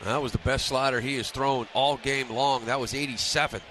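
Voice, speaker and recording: A man giving live radio color commentary on baseball, breaking down pitching in a conversational, opinionated way. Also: steady tone, moderate pace. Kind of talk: live sports radio analysis. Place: stadium broadcast booth. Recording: some background ballpark noise.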